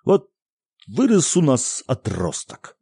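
A man voicing a bitter returning soldier who shouts at his wife and son. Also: medium pitch, huge pitch swings, slow, vocal fry, slightly rough, no room sound, studio quality, voice pushed up, heavily acted. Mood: bitter, mocking. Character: bitter returning soldier father, harsh and jealous.

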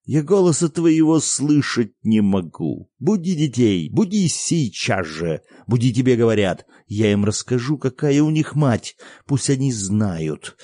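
A man voicing a bitter returning soldier who shouts at his wife and son. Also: fairly low pitch, very animated, slow, creaky, breathy edge, dry recording, heavily acted. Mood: furious. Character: bitter returning soldier father, harsh and jealous.

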